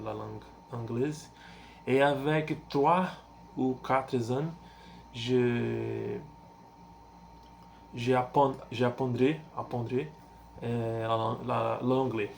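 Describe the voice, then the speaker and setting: Halting Brazilian man in his twenties. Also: medium pitch, gravelly, darkish tone, a little hiss or hum. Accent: Brazilian Portuguese. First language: Portuguese. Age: 20s. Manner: halting, searches for words with many fillers and restarts. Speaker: language learner vlogger. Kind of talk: casual self-introduction.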